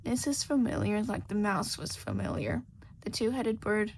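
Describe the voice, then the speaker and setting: Female audio fiction narrator. Animated scripted storytelling, gravelly edge, slight noise in the background. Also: medium pitch, normal speed. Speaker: podfic narrator.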